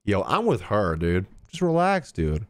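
Young male streamer, very animated delivery. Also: on the low side, unhurried. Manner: jokey, slangy. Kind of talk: casual live game reaction commentary. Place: indoor streaming room.

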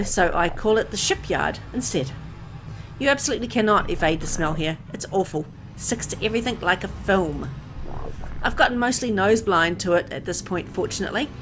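Woman acting as young first-person narrator, medium pitch, mild, confiding, game storytelling, music underneath. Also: expressive, moderate pace, natural voice, low-quality recording.

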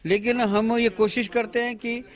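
A man in his fifties, a party official, giving a halting press statement to reporters with many pauses and restarts, speaking into a bundle of handheld mics outdoors at a bus station. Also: very high voice, level tone. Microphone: bundle of handheld news mics.

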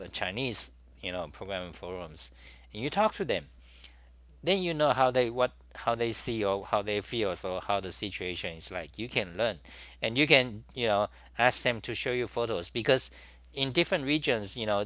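Rambling man, bright and dry. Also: on the low side, dry recording. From Taiwan. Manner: meandering, many restarts and "you know" fillers. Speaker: talk show host. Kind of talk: rambling solo monologue. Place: small room at a desk.

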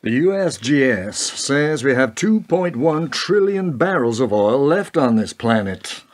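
A man's voice: mid-range voice, very animated, unhurried. A man acting in a radio play as a probing, sardonic President of the United States. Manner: pointed, questioning, wry.

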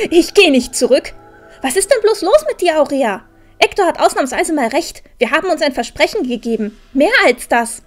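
An actress playing a proud, sharp-tongued, defiant teenage girl in a fantasy audio drama, with a pointed, haughty manner. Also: high voice, lively intonation, moderate pace, soft background music. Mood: indignant.

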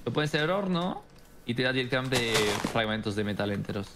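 A man's voice: medium pitch, expressive, fairly quick. A man chatting casually with friends as he plays an online video game. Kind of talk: casual chat while playing online with friends. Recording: clearly noisy voice chat with clicks.

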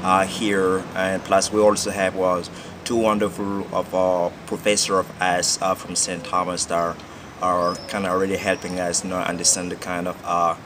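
A man in his twenties, a student, answering interview questions in a halting way with many fillers, outdoors in a courtyard. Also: sits low, level tone, background noise. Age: 20s. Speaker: exchange student. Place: outdoor courtyard by glass building.

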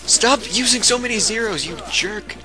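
A man's voice: high-pitched, moderate pace, over music. A man playing a video game with goofy, exclamatory commentary. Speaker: let's play gamer. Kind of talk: gameplay commentary with read-aloud dialogue.

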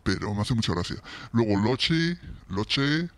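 A man in his forties speaks European Spanish through a modulator that gives him a very deep voice. He hosts in a chatty, informal way, close to the microphone. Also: expressive, measured pace, a bit bright, dry recording. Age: 40s. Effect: voice modulator deepening the voice. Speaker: YouTube host, football fan channel. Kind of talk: casual chat to camera. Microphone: close vintage-style studio microphone.

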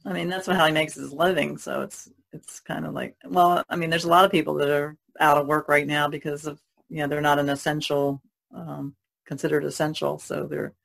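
Creaky-voiced woman chatting. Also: deep voice, level tone, brisk. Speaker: podcast co-host. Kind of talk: casual chat.

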